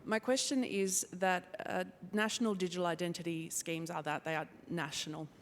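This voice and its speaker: Woman, Australian accent, audience question, earnest, slightly halting, lowish voice, reverberant hall. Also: fairly even intonation. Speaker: audience member asking a question. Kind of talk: conference Q&A question. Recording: reverberant conference hall, faint rumble.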